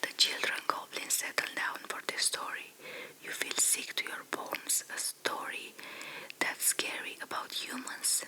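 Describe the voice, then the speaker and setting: A woman whispering a second-person fairy tale slowly and softly, in the style of ASMR storytelling. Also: poor audio. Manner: soft, slow, hushed whisper.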